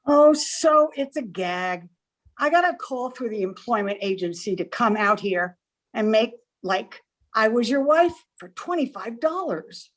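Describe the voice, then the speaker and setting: A woman acting a brassy, brash dame in an old-time radio comedy. Her voice sits high and her talk is blunt and slangy, like a woman hired to play the wronged wife. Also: expressive, unhurried. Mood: frank, casual. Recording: amateur group video-call recording.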